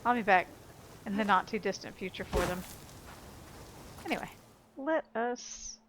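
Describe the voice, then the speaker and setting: A woman's voice: mid-range voice, animated, a little slow, fairly bright tone, slight background noise, low-quality recording. A woman doing casual let's-play commentary, chatting to herself as she plays. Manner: chatty, talks to herself while playing. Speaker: gameplay streamer. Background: video game music and sounds.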